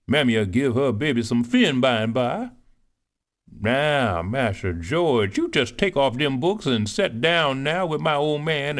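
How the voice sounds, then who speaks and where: A man playing a bossy older cook. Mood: bustling. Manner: animated, scolding, swoops high. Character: proud older woman cook, bustling and boastful. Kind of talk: audiobook character reading.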